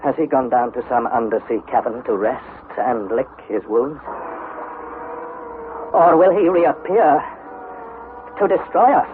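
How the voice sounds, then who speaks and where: An actor playing an earnest young adventurer, narrating perils theatrically in a vintage radio drama. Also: male voice, medium pitch, unhurried, pauses often, noisy background, close to an everyday voice. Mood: grave. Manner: theatrical, suspenseful. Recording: narrow-band vintage radio broadcast, music behind.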